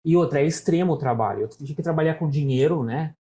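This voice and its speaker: A man's voice: on the high side, fast talker, dark tone. A middle-aged man from Brazil with a São Paulo Portuguese accent, talking casually as an interview guest and telling stories, often adding "né?". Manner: storytelling, talkative, frequent "né?" tags.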